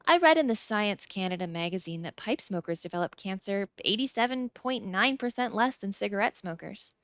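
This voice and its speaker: A woman's voice: mid-range voice, animated, brisk, a bit bright, clear, resonant tone, no echo. A woman voicing a sly, evasive teenage boy in an audiobook, chatty and mock-earnest. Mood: glib innocence.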